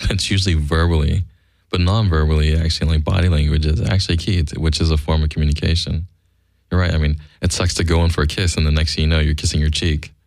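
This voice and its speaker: Very deep gravelly man co-host. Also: fairly even intonation, no echo. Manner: laid-back, joking, halting restarts. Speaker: radio show co-host. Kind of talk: casual radio chat.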